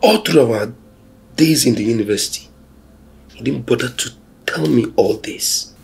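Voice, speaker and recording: An actor with a Nigerian accent playing a joking young man who teases his friend excitably. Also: mid-range voice, normal speed, vocal fry, slightly rough. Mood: playful. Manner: playful, teasing, excitable.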